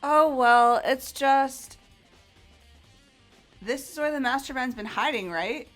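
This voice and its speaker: Woman voicing an excitable high-pitched high school girl. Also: slow pace, faint music behind. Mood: concerned. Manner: bubbly, exclamatory. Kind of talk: fan voice acting of a video game.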